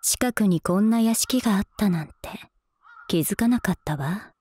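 A woman voicing a cool, devoted young female senior student in an ASMR voice drama, deadpan and soft-spoken into a close binaural mic. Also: medium pitch, husky, close to an everyday voice. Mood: calm, teasing. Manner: deadpan, soft-spoken, unhurried, occasionally whispery. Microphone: close binaural microphone.

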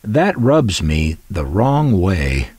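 A middle-aged American man with a low voice that moves freely up and down. He talks like an opinionated collector showing off his things, wry and conversational. The recording is close and dry, with no room around the voice. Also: sing-song, very slow, a little mellow, slightly rough, no echo, soft background music. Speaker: collector presenting vintage transistor radios. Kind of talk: conversational video narration.

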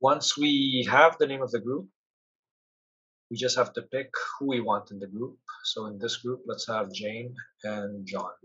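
A man with a slightly husky voice walks through a software demo. He explains things step by step in an instructional way at a medium pitch. The recording is dull and lo-fi. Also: steady tone, unhurried. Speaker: product demonstrator. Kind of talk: software tutorial narration.

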